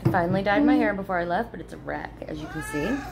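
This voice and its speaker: Gravelly woman chatting into her phone. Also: fairly low pitch, quick pace, noisy background. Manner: rambling, chatty, many ums and restarts. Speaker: vlogger. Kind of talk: casual chat to followers. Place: motel room, indoors. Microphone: phone held at arm's length.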